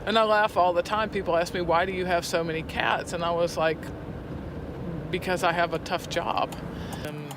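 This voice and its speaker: A woman talking casually and emphatically as a poetry teacher in an interview. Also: lowish voice. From Alabama, United States. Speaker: poetry teacher and prison arts program head. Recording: location recording with clear vehicle and outdoor noise.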